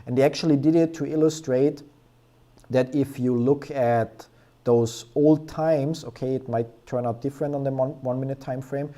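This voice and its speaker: Young male streamer with a warm, relaxed tone who walks viewers through charts in a loose, off-the-cuff style. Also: mid-range voice, moderate pace. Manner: rambling, filler-heavy, thinks aloud. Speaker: stock trading streamer. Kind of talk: casual live chart analysis.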